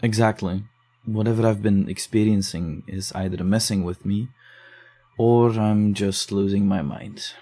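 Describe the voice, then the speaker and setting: A man voicing a rattled young man in a psychological horror audio drama, speaking in a lowish, mellow voice that turns defensive and uneasy as he recounts what happened. He talks quickly when he gets nervous. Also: fairly even intonation. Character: shaken young man investigating case files. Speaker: narrator and lead character.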